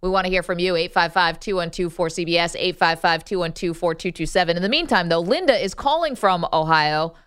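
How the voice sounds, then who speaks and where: A woman's voice: lowish voice, fairly quick, hardly any pauses, a bit bright, clear, resonant tone, no room sound, studio quality. A woman hosting a sports radio show, chatting casually with callers.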